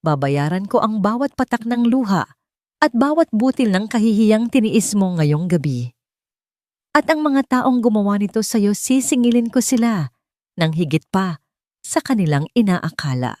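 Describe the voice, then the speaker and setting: A woman voicing a wealthy young man who makes a resolute, quiet vow to himself. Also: sing-song, normal speed, no room sound, close to an everyday voice. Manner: low, resolute inner vow.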